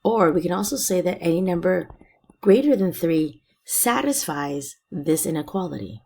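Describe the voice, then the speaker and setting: A woman teacher explaining a math lesson step by step, her voice raspy and hoarse from being sick. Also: fairly low pitch, lively intonation, normal speed, darkish tone. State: hoarse from illness.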